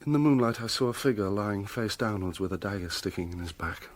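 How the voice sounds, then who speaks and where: A man's voice: lowish voice, lively intonation, a little fast, natural voice. An actor playing an earnest, guilt-ridden young man in love, in a radio drama. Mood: troubled, confiding.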